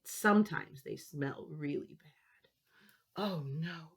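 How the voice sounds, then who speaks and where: A woman in her thirties reading a picture book aloud as a teacher, expressively and with drawn-out key words for children. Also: sits low, breathy edge.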